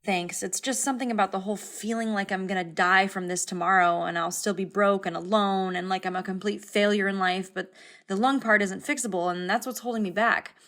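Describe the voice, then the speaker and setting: A woman's voice: medium pitch, steady tone, fairly quick, a bit bright, natural voice. A woman playing an anxious young cartoon passenger who overshares in a rambling, candid, self-deprecating, naturalistic way. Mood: anxious, confessional. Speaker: passenger in an animated series. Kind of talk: naturalistic confessional conversation.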